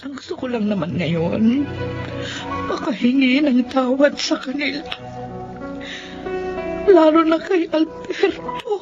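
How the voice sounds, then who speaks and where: An actress playing an earnest, emotive, gentle woman in a Filipino radio drama. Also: high-pitched, expressive, takes it slowly, pauses often. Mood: remorseful, weak. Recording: dull lo-fi, faint music.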